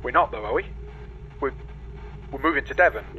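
A man's voice: mid-range voice, expressive, a little fast, sharp tone. A man playing an anxious, needy boyfriend asking probing questions over a call in a comedy audio drama. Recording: dull call link, faint noise.

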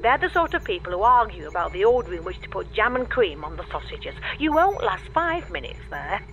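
A dull, lo-fi call carries a nagging middle-aged mother in a comedy audio drama. Her voice swoops around in pitch as she scolds and fusses, breezily dismissive, with faint music underneath. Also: big pitch swings, fairly quick, a bit bright. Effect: audio call filter.

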